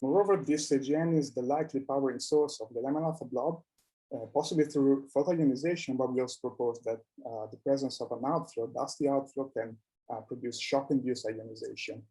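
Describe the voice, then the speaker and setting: A man presenting research at a scientific conference, speaking haltingly and often repeating words. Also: mid-range voice, fairly even intonation, warm tone, low-quality recording.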